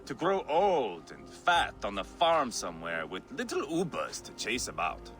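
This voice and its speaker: An actor playing a brash, bloodthirsty, mocking Viking warrior with a theatrical, boastful manner in a video game cutscene. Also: male voice, medium pitch, huge pitch swings, a little slow, a bit bright, soft background music. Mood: scornful. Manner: theatrical, boastful, swinging between teasing and menace.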